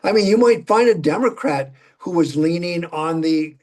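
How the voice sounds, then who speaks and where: A man's voice: on the high side, lively intonation, moderate pace, darkish tone. A man in his seventies with a Minnesotan accent, chatting in a folksy, talkative way as a political candidate in a casual conversation. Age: 70s. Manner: folksy, chatty, laughs while talking.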